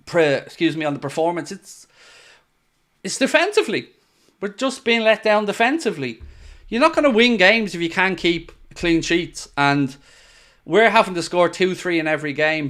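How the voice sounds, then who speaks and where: A man's voice: on the high side, fairly bright tone. A man hosting a live football watchalong, ranting in exasperated, sarcastic reaction to his team's play. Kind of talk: live match commentary and reaction.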